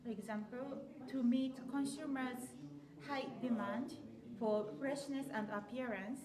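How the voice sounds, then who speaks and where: Japanese woman participant, careful halting delivery, echoey distant mic. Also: high-pitched, crowd noise. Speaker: workshop participant, consultant. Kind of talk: informal group presentation.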